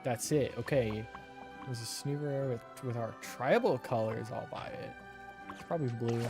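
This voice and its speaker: A man streams a video game in a casual, teasing way. His voice sits at an ordinary pitch. Faint game music plays behind him. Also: normal speed, gravelly. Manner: joking, teasing, talking to game characters. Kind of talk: casual game commentary, reading dialogue aloud.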